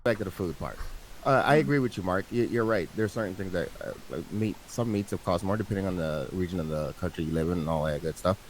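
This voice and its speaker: A man in a casual debate, speaking in a measured, conciliatory, somewhat hesitant way. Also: low-pitched, poor audio. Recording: hissy mic with background noise.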